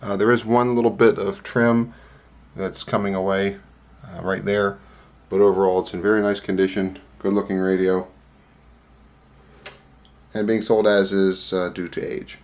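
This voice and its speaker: A man's voice: sits low, level tone, normal speed, long pauses, a little mellow. A man giving an informal product demonstration as a presenter. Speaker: presenter demonstrating vintage radio for sale. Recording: clearly noisy, room ambience.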